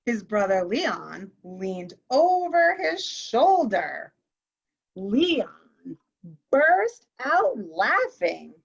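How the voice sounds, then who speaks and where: A woman's voice: fairly high pitch, very animated, drawn-out words, vocal fry, husky. A woman guest reader on a children's story show, reading a picture book aloud with expression. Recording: muffled lo-fi audio.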